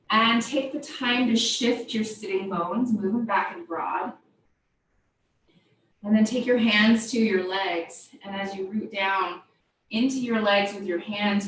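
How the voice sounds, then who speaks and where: Echoey, distant woman yoga instructor. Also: mid-range voice. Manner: gentle step-by-step cueing, invitational. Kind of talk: guided yoga and body scan instruction. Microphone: distant microphone. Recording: very echoey room, distant mic, faint noise.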